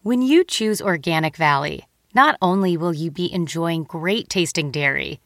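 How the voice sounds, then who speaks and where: A woman reading a scripted advertisement in a smooth, upbeat way. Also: lowish voice, fairly bright tone, dry recording, studio quality. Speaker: ad reader. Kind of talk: scripted ad read.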